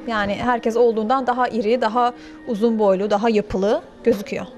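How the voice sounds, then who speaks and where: A woman's voice: fairly high pitch, fairly even intonation, fairly quick, a little mellow, soft background music. A woman in her thirties answering interview questions in a cafe into a handheld microphone, chatty and explaining at length. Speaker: interviewee, actress. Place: pink-walled cafe indoors. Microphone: handheld interviewer mic.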